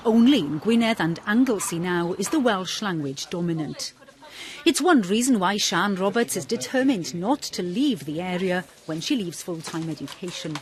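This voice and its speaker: A woman reporting a broadcast news story in a measured, clear voice. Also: fairly low pitch, lively intonation.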